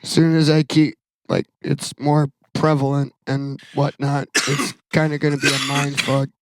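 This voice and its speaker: A man in his thirties rambles through a casual podcast chat, poking fun at himself. His voice sits a little high, and it is recorded dry, with no room sound. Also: little pitch movement, moderate pace, no room sound, low-quality recording. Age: 30s. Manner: rambling, self-deprecating, laughs at himself. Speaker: podcast co-host.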